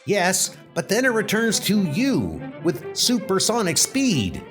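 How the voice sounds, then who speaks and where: A man voicing an excitable, anxious young superhero sidekick in a comic book dub, with eager delivery. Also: high voice, takes it slowly, voice pushed up, full character voice. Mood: worried. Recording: loud music under the voice.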